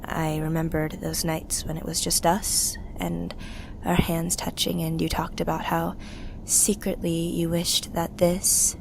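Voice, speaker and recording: A woman's voice: lowish voice, little pitch movement, creaky, noisy background. Woman playing a subdued, grieving young revolutionary. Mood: tender, longing. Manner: wistful, halting, confessional. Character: weary, grieving young woman, failed lawyer turned revolution survivor. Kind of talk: intimate audio drama monologue.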